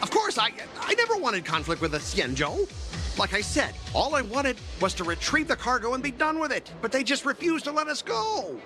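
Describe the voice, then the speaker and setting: High-pitched, blustering corporate officer. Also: male voice, huge pitch swings, normal speed, exaggerated voice. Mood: defensive, evasive. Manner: indignant, evasive, pompous. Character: blustering, self-important male corporate cargo fleet officer. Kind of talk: video game voice acting. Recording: game music behind.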